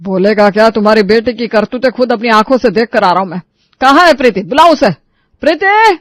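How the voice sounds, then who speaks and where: A woman acts out a middle-aged Indian family patriarch in a storytelling drama, scolding and accusing. The delivery is forceful in temper and domineering, recorded close and dry. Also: a little high, lively intonation, a little fast, dry recording, close to an everyday voice. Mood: furious. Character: angry, domineering middle-aged father scolding his household.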